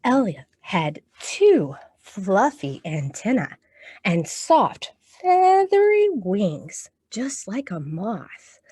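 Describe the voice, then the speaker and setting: A woman's voice: mid-range voice, huge pitch swings, very slow, mellow, slightly rough, no echo, studio quality. A woman hosting a storytime for young children, talking encouragingly and reading aloud to her little audience. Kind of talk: children's storytime with songs and picture-book reading.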